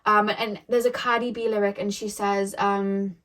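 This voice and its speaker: A woman's voice: mid-range voice, flat delivery, moderate pace, fairly bright tone. A young woman giving a casual tarot reading to the camera, rambling with many fillers and self-corrections, her voice a little shaky. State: voice getting shaky. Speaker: tarot reader.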